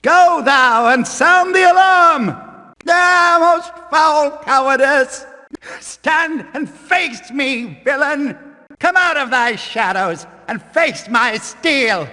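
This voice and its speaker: An actor playing a stern, combative religious guard who hunts thieves in a video game, with declamatory, archaic, commanding speech. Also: male voice, extremely high pitch, animated, slow, pushed and bright, exaggerated voice. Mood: outraged, commanding. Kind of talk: video game voice acting, archaic battle barks. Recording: reverberant hall-like echo.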